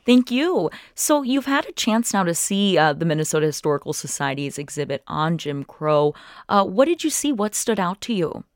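Low-voiced woman hosting a radio interview. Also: sing-song, normal speed, no room sound, clean studio sound. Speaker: radio show host.

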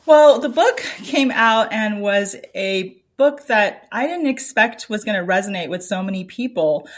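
A middle-aged woman with an American accent speaking as an interview guest, telling personal anecdotes in an animated, emphatic, conversational way. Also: mid-range voice, measured pace. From United States. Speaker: interview guest, children's book author.

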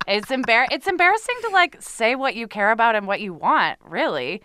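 A woman's voice: fairly high pitch, very animated, fairly quick, pushed and bright, clear, resonant tone, no echo, studio quality. A woman comedian chatting casually as an interview guest, candid and self-deprecating. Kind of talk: casual chat.

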